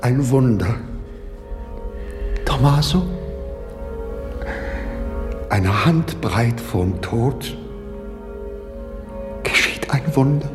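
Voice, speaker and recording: A man playing a condemned imprisoned clergyman in a German radio drama, speaking in a solemn, earnest and deliberate manner. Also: medium pitch, animated. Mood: stunned, awed. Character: grave condemned male prisoner, a clergyman, anguished and earnest. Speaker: radio play actor. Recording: reverberant hall, music in the mix.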